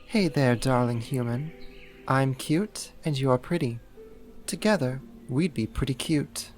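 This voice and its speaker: A man's voice: medium pitch, unhurried, soft background music, close to an everyday voice. A man playing a slight, possessive, vain vampire in a roleplay audio drama, sarcastic, haughty and fussy. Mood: flirtatious. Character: puny snarky jealous male vampire, possessive and vain.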